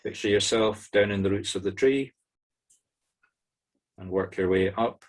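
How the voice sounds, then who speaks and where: A Scottish man with a low voice leads a guided meditation. He gives short, soothing instructions and leaves pauses between them. The sound is that of a video call. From Scotland. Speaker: spiritual medium leading a meditation group. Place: online video-call circle.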